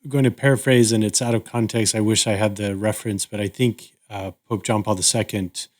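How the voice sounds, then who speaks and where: A man hosting a reflective podcast discussion, speaking in a measured, thoughtful way with pauses between phrases. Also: on the low side, fairly even intonation. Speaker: podcast host.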